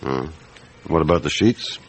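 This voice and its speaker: Veteran detective for radio play: deep, gruff, methodical, dryly commanding; noisy backdrop. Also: male voice, animated, slow pace, exaggerated voice. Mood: brisk, curt. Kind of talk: radio drama acting with narration.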